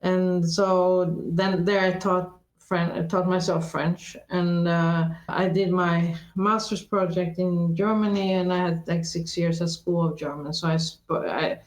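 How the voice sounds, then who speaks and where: A woman's voice: fairly low pitch, little pitch movement, a little slow, hardly any pauses, reverberant, poor audio. A woman whose first language is Norwegian, raised in Sweden, speaking thoughtfully as an interview guest with frequent restarts and self-corrections. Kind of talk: reflective conversational interview.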